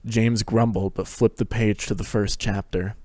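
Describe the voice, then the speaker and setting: A man's voice: on the low side, level tone, normal speed, warm tone, no echo, studio quality. A man narrating a fan fiction story as a scripted dramatic reading.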